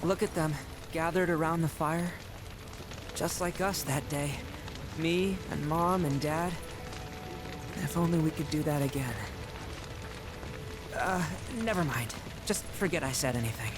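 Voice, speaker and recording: A woman's voice: low-pitched, measured pace, pauses often. A woman playing a wistful, guarded young woman who speaks hesitantly in a video game cutscene, with music and rain behind.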